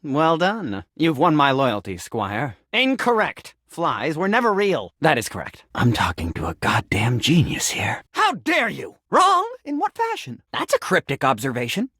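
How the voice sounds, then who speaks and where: Frantic, eccentric talking sink, wildly swooping pitch. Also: male voice, huge pitch swings, unhurried, few pauses, bright, forceful tone, no room sound, studio quality, heavily acted. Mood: quizzical, pompous. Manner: melodramatic, absurdist, swinging between pompous declaiming and shrieks. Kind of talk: theatrical video game character acting.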